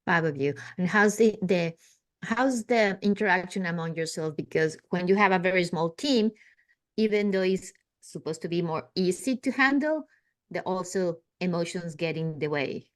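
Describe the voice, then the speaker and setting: A woman's voice: lowish voice, moderate pace. A woman hosting a podcast interview, enthusiastic and full of praise. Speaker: podcast host.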